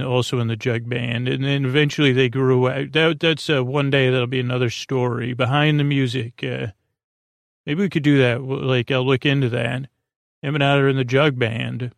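Male sleep podcast host: gravelly, creaky delivery, halting and meandering, recorded dry and close. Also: medium pitch, level tone, no echo. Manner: meandering, halting, repetitive, trailing off. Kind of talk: rambling bedtime sleep podcast monologue.

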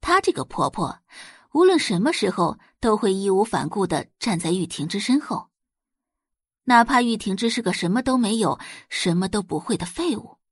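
A woman's voice: medium pitch, sing-song, normal speed, breathy edge, no room sound, studio quality. A woman voicing a resentful, envious sister-in-law with a bitter manner in an audio drama. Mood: bitter resentment.

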